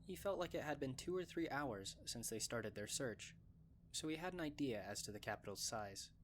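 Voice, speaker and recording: A man's voice: medium pitch, lively intonation, moderate pace, slight background noise. A man narrating a scripted story in an audiobook style.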